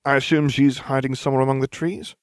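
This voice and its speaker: A man's voice: medium pitch, little pitch movement, fast, dry recording, clean studio sound, voice pushed up, close to an everyday voice. A man voicing a worried, polite adult schoolteacher in an audiobook, with an urgent manner. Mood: concerned.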